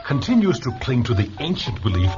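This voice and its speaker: An actor playing a talkative, opinionated old philosopher in a radio drama, musing theatrically with rhetorical questions. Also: male voice, mid-range voice, big pitch swings, brisk, natural voice. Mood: wry, assertive. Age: older. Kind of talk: vintage-style radio drama acting. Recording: dull lo-fi radio drama recording. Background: music.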